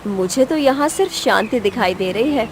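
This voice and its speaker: Cartoon princess, played by a woman: youthful, wistful but wilful, raised pitch. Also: animated, normal speed, noisy background, close to an everyday voice. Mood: headstrong. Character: young cursed princess, headstrong and wistful. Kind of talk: Hindi cartoon story voice acting.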